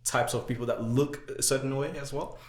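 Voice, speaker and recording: A man's voice: mid-range voice, steady tone, brisk. Haitian-accented young man, roomy sound. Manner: explains at length, many "uh" and "right?" fillers. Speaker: podcast guest. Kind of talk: casual chat. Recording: echoey room.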